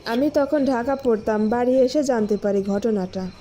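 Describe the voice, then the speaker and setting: A woman's voice: on the high side, normal speed, noisy background, natural voice. A woman acting a grave, secretive village woman in a cartoon story drama. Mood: grave, confessing.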